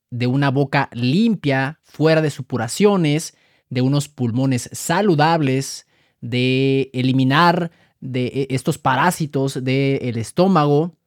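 A man hosting a health podcast, reading scripted narration in a measured, explanatory way and listing items one by one. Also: a little high, dry recording, studio quality. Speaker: podcast host.

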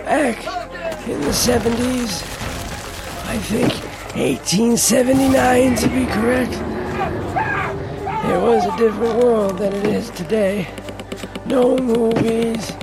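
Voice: mid-range voice, slow pace, pauses often, a loud crowd. An actor playing an old former reporter who narrates a western audio drama in a folksy, ruminative way, recalling frontier days. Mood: reflective, nostalgic. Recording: loud music under the voice. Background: loud music.

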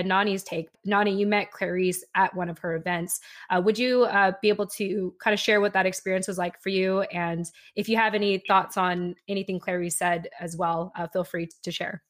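A woman with a low, flat-toned voice hosting an interview. She speaks encouragingly to her guests, with small hesitations. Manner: warm, encouraging, some hesitations. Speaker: host.

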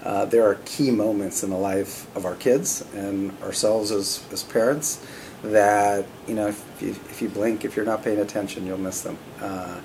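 A middle-aged man from California, a company CEO telling stories in a relaxed, conversational way as an interview guest, often pausing with "uh" and "you know". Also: fairly low pitch, a little hiss or hum, rough recording. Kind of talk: casual interview storytelling.

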